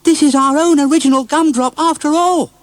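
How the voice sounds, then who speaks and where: A man's voice: very high voice, steady tone, a little fast, no room sound, voice pushed up. A man voicing an eager, exclamatory boy in a children's story. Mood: jubilant.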